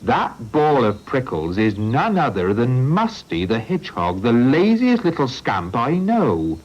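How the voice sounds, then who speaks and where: A man's voice: mid-range voice, huge pitch swings, a little slow, a little mellow, pitched above the performer's own voice. A man voicing a kindly, shrewd older farmer with a hearty, fatherly manner, on a lo-fi storybook recording. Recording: lo-fi old recording.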